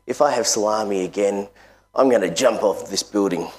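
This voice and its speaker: Fed-up builder moaning about lunch, guy telling a joke, low plain voice. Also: moderate pace, natural voice. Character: grumbling male Italian construction worker.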